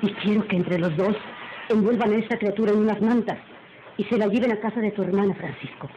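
A woman's voice: medium pitch, fairly quick, close to an everyday voice. An actress playing a cold, domineering lady of the house in an old radio drama, with an imperious, clipped manner. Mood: commanding. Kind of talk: old Latin American radio drama acting. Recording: muffled old radio serial, background noise.

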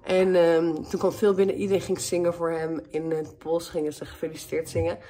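A woman's voice: fairly low pitch, level tone, brisk, dark tone, slight background noise. A woman, chatting casually to her phone camera, laughing often and dropping in English phrases. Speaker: vlogger. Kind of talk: casual vlog chat. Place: indoors, then in a parked and driving car. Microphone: phone at arm's length.

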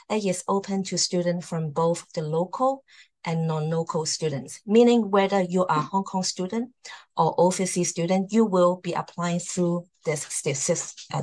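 A woman's voice: fairly low pitch, darkish tone. A woman hosting and presenting in a formal slide talk over a video call. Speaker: host, university admissions presenter.

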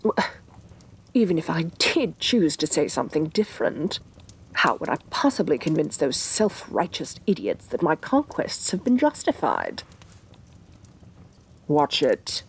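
A woman playing a domineering, vain evil sorceress queen in a soft-spoken ASMR fantasy roleplay, imperious and sardonic, with breathy condescension. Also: sits low, wildly swooping pitch, a little slow, exaggerated voice. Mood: haughty, impatient. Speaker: voice actress.

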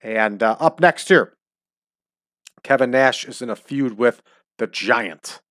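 A man's voice: mid-range voice, slow pace, a bit bright, no room sound, studio quality. Stuffy-nosed man chatting over gameplay. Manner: chatty, opinionated asides. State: stuffy nose from allergies. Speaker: let's-play host. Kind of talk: casual gameplay commentary. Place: at home.

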